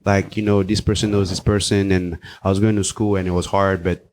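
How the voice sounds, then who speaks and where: A young man talks in a very low voice, laid-back and slangy as he jokes with the host. His speech sits deep in his chest, and a faint hiss runs under the recording. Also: level tone, a little fast, darkish tone. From Cameroon. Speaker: guest, party promoter. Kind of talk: casual podcast chat.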